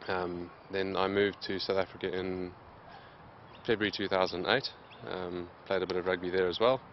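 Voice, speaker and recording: Interview subject: man in his late 20s with a low voice, talking steadily with lots of "um"s, in a dull, lo-fi outdoor recording. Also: slight background noise. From Zimbabwe. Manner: steady, plain, many "um" pauses. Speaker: interviewed athlete. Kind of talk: casual interview answers.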